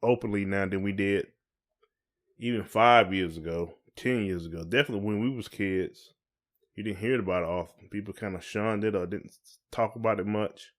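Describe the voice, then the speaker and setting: A man's voice: on the low side, moderate pace. A man with an American accent hosting a podcast in casual, colloquial conversation. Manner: colloquial, often checks in with "you know". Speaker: podcast host.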